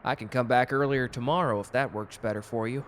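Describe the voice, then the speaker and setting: Man playing a young male love interest, medium pitch, casual, intimate, teasing, bashful, romantic audio roleplay. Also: steady tone, a little fast, a bit bright, a little hiss or hum, close to an everyday voice. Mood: relaxed, polite.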